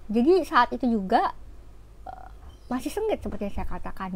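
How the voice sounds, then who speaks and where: A woman tells history like a storyteller in an informal lecture. Her voice sits at a medium pitch for a woman. She explains conversationally, breaking off for rhetorical asides. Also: slight background noise.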